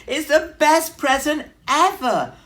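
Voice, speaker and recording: A woman voicing a proud, easily flustered male bear in a children's storybook, booming and emphatic. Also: expressive, takes it slowly, a bit bright, full character voice. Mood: delighted. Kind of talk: children's storybook character reading.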